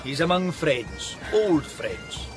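A man's voice: on the high side, very animated, takes it slowly, music in the background. A man in a radio drama playing a sly village constable, falsely polite and insinuating. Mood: quietly menacing.

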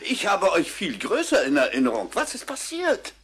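Low-voiced, good-natured farm horse. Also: female voice, wildly swooping pitch, normal speed, a bit bright, breathy edge, full character voice. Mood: surprised, amused. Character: friendly lame farm horse, long-serving and good-natured. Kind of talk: children's cartoon radio play acting. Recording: faint noise on old cassette.

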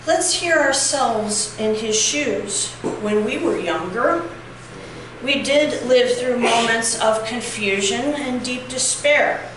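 Middle-aged woman pastor, reflective measured sermon, bright-ish voice, far mic, boomy church echo. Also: noisy background. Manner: reflective, measured preaching with occasional fillers. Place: church sanctuary, at a pulpit. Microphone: far camera. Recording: very echoey church from a distance.

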